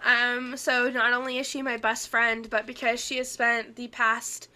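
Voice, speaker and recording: Congested young woman, chatty podcast talk, bright and a little high. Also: flat delivery, unhurried. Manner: chatty, laughs often, many ums. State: stuffy, congested from illness. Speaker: podcast co-host. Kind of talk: casual chat.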